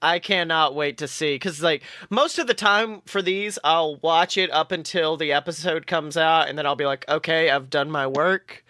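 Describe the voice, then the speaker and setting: A man co-hosting a podcast, chatting casually and excitably as a fan, swearing freely. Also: on the high side, moderate pace, few pauses, no room sound, studio quality. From southern United States. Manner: informal, profane, excitable fan talk. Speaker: podcast co-host.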